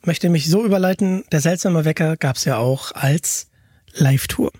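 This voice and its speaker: A man's voice: a little high, moderate pace, darkish tone, breathy edge, no echo, studio quality. A man hosting a casual fan podcast, chatting in an enthusiastic way. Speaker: podcast host.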